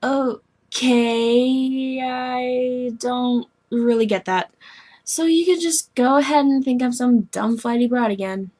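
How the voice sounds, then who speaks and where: A woman voicing a moody, self-pitying young alien woman in scripted dialogue, blunt, slangy and excitable. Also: a little high, slow, pitched above the performer's own voice. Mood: worried.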